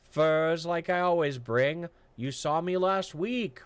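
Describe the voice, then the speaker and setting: A man voicing a sincere, stubborn male hunter who speaks in slow, flat, matter-of-fact lines. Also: on the high side, clear, resonant tone, no room sound, pitched above the performer's own voice. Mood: blunt.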